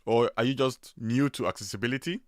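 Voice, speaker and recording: A man with a strong Nigerian accent hosts a casual livestream in a chatty, easygoing way, full of fillers and restarts. His voice sits at a middling pitch. The sound is dry and close, with no room echo. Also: a little fast. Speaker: livestream host.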